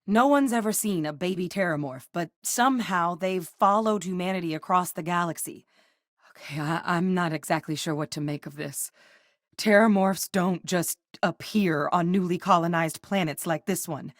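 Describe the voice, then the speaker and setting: An actress playing a composed, frank military scientist in a space video game, speaking in a measured, articulate way. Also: female voice, fairly low pitch, dry recording, studio quality, close to an everyday voice. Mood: serious, thoughtful. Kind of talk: acted video game dialogue.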